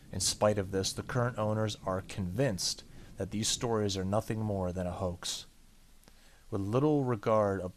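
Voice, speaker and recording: A man hosting a game playthrough, casually commenting and reading text aloud, sometimes tripping over words, with game music behind him. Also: on the low side. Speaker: let's play host.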